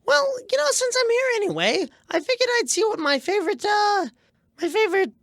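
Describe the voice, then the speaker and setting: A man's voice: extremely high pitch, very animated, fairly quick, vocal fry, a bit bright, dry recording, studio quality, full character voice. A man voicing a chatty, cocksure rat con artist with a folksy, wheedling manner in a radio comedy. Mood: breezy, sly.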